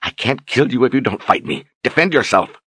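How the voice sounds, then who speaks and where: A man's voice: mid-range voice, lively intonation, normal speed, bright, forceful tone, slightly rough, no room sound, clean studio sound, voice pushed up. A man voicing an anguished young female warrior who screams, sobs and pleads. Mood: furious.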